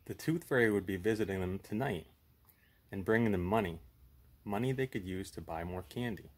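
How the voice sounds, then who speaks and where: A man in his thirties hosting a puppet show, chatting casually and reading a horror story aloud. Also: lowish voice.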